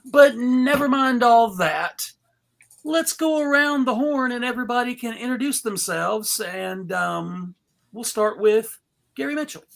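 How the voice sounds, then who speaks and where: A man hosting a live table read, introducing people in a casual, joking, halting showman's manner. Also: extremely high pitch, expressive, creaky, a bit bright.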